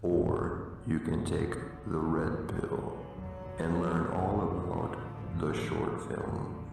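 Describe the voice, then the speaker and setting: A man acts out a grave mentor and resistance leader in a radio play. He is deep and gravelly, weighing each phrase portentously. The voice sounds distant over clear music. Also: level tone, slow pace, exaggerated voice. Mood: solemn, persuasive. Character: grave, theatrical male mentor and rebel leader. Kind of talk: dramatic radio play acting. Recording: echoey, distant, music behind.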